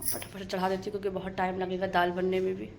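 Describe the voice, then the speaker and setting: A woman with a sore, hoarse throat talking to her phone camera in a home kitchen, chatty and hurried as she cooks. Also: medium pitch, completely flat delivery. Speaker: homemaker vlogger. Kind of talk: casual talk to camera while cooking. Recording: home phone video with clear background noise.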